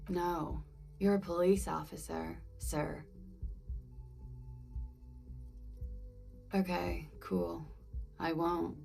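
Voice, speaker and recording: A woman playing a wry, amused young dancer in a video game, with a creaky voice and a languid, teasing drawl. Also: on the low side, fairly even intonation, slow, darkish tone, faint music behind, heavily acted. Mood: cool, concerned. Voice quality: gravelly, creaky. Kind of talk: video game dialogue acting.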